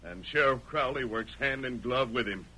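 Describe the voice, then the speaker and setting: Male actor as a masked western hero: bright, forceful, authoritative, vintage radio with clear noise. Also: medium pitch, natural voice. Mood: firm, resolute. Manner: firm, deliberate, authoritative. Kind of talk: old-time radio western acting. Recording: noisy vintage radio recording.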